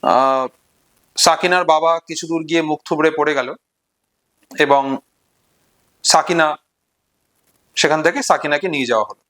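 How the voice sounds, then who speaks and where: Male literature presenter, Bengali accent, high voice, measured storytelling and commentary with emphatic repetition. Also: sharp tone.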